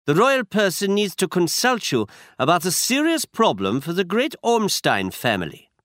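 A man's voice: sits high, very animated, normal speed, fairly bright tone, no echo, studio quality, voice pushed up. A man voicing a huge, anxious foreign king who speaks formally with a German accent. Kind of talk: audiobook character reading.

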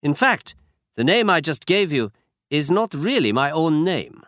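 A man's voice: on the high side, very animated, unhurried, a bit bright, no echo, studio quality, voice pushed up. A man reading a story aloud and voicing a large, masked, anxious foreign nobleman who speaks with a German accent in a stiff, formal manner.

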